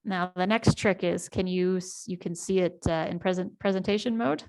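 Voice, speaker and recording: American woman with a low, gravelly voice. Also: fairly even intonation, moderate pace, slight background noise, poor audio. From United States. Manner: warm storytelling with frequent ums and restarts. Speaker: community presenter. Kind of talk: online town hall presentation. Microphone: video call.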